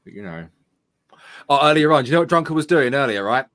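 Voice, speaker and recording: A man's voice: medium pitch, animated, quick pace, fairly bright tone, dry recording. A man, co-hosting over a remote call, telling rambling anecdotes in a chatty way. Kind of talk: casual livestream chat.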